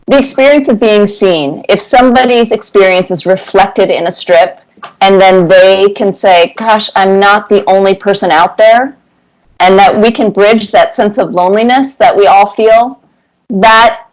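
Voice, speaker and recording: A middle-aged woman answering interview questions conversationally, with thoughtful, deliberate phrasing. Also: medium pitch, rough recording. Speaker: interview guest, cartoonist.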